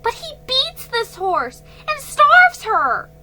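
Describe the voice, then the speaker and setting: A woman's voice: huge pitch swings, takes it slowly, heavily acted, low-quality recording. A woman voicing a spirited, headstrong young girl who pleads with her father, in a children's storybook reading. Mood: pleading. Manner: pleading, high and eager. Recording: faint music behind.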